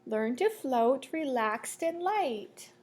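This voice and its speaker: A woman reading a children's picture book aloud like a teacher, in a measured, sing-song storytelling way. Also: high voice, expressive, a little mellow. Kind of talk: children's read-aloud storytelling with asides to listeners.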